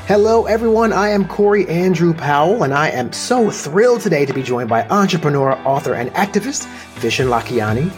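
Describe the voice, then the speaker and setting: A man hosting a podcast interview, bubbly and quick to laugh. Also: fairly high pitch, expressive, soft background music. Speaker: podcast host.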